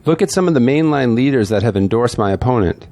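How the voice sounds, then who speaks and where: A man's voice: medium pitch, animated, quick pace, warm tone, natural voice. A man playing a beleaguered, pragmatic veteran politician in an audio drama, speaking in an emphatic, world-weary way. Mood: frustrated.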